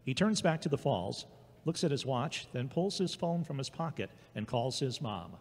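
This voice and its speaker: A man's voice: medium pitch, sing-song, moderate pace, slightly rough. A man reading scripted story narration as the narrator of an audio drama. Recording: long hollow reverb.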